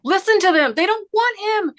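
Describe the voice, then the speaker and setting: An urgent, pleading woman from inside politics in a stage comedy, read by an actress. The voice is high and swings expressively. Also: animated, moderate pace, a bit bright, slight background noise. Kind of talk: play table read.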